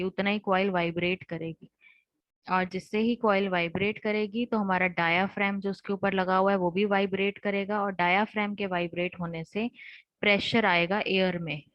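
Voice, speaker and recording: A woman's voice: mid-range voice, no room sound. An Indian woman teaching an online technical class, explaining step by step and restating each point in other words. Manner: explanatory, repeats points in rephrased steps. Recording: dull lo-fi online class audio.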